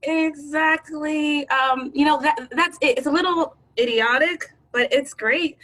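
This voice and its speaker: A calm, coaxing colleague, played by a woman, steady and persuasive. Her voice sits high, and she stays even and patient. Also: measured pace. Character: coaxing, encouraging female colleague.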